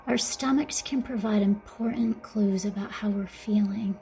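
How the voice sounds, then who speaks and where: A woman guiding a mindfulness meditation in a gentle, soothing, softly spoken way, with breathy phrase endings. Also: medium pitch, a little slow, a little hiss or hum. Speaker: meditation guide. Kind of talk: guided mindfulness meditation narration.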